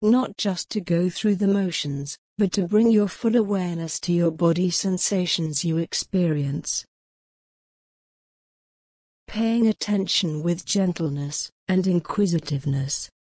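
A woman guiding a mindfulness meditation in a calm, gentle, unhurried way of instructing. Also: lowish voice, dry recording. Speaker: meditation guide. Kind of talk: guided mindfulness meditation narration.

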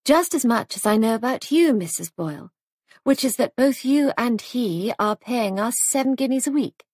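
A woman voicing a spirited young guesthouse keeper in a storybook, brisk and light, pointedly polite and defiant. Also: fairly high pitch, animated, a little mellow, no echo, clean studio sound, pitched above the performer's own voice. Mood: sweetly defiant.

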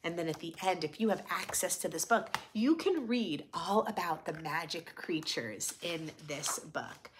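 A woman in her thirties hosting a children's read-aloud, giving gentle, expressive asides in a room at home. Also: fairly low pitch, unhurried, few pauses, noisy background. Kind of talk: picture book read-aloud with friendly asides to young children. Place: small furnished room at home, indoors.

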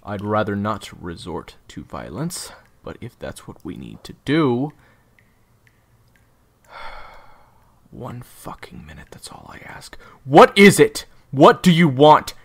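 An actor playing a guarded, short-tempered leader of assassins in an audio drama, terse and weary. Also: male voice, mid-range voice, very animated, slow, pushed and bright, full character voice. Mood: exasperated.

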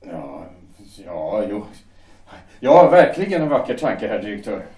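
A man playing a deferential, low-voiced foreman. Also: very animated, mellow, reverberant. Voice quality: deferential, hesitant. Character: deferential male shipyard foreman, anxious. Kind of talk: audio drama acting.

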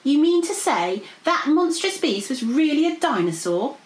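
A woman voicing a timid little male pixie in a storybook, squeaky, jabbering and panicky. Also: sing-song, moderate pace, echoey room. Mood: incredulous.

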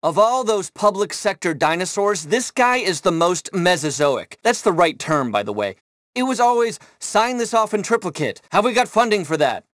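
Glib, animated agency boss. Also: male voice, sits high, normal speed, no room sound, studio quality. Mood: mocking. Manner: flippant, sardonic. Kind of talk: video game mission dialogue.

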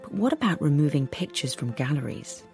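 A woman's voice: deep voice, big pitch swings, brisk, darkish tone, faint music behind. A woman presenting a radio documentary, narrating in a scripted, inquisitive way.

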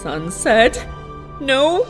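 A woman's voice: sits high, sing-song, drawn-out words, over loud music, exaggerated voice. A woman voicing a weary, exasperated grown-up pony princess in a cartoon comic dub, with a dry, sighing manner. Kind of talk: fan comic dub voice acting.

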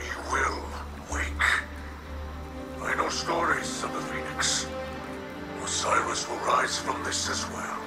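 Guy doing a solemn old alien kell from a video game, gravelly n breathy, really slow, loud music under him. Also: mid-range voice, steady tone, lightly acted. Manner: formal, halting, solemn speech. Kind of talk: video game cutscene dialogue.

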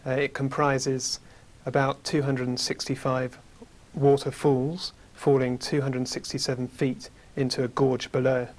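A man in his thirties with a British accent, a guest in a studio interview, telling about his travels in a measured way with many fillers, on a lapel mic. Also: medium pitch, level tone. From United Kingdom. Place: small studio with curtain backdrop.

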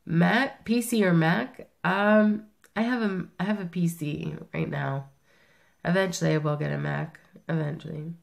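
Sick lady vlogging at her webcam, italian first language, a little low and croaky, chatty. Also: fairly even intonation, measured pace. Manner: chatty, rambling, self-correcting. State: sick, rough voice. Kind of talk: casual tag-video chat. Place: small room indoors.

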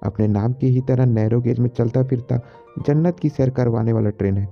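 Creaky man narrating a travel vlog. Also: medium pitch, brisk, dull audio, soft background music. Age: young adult. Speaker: travel vlogger.

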